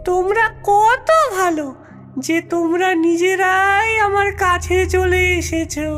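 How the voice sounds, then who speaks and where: An evil cartoon witch with an extremely high voice. She speaks very slowly, in a theatrical mock-sweet menace that tips toward a cackle, over loud horror-cartoon music. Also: full character voice. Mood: gloating. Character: gloating evil witch with a garden of monster eggs.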